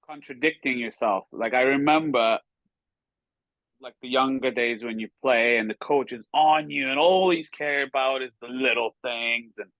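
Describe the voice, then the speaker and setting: A man's voice: medium pitch, normal speed, dry recording, narrow, tinny audio. A man from Kazakhstan speaks, sometimes stopping to search for a word. He laughs at himself as he talks. The sound is dry and close. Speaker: podcast guest, former hockey player. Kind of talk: casual chat.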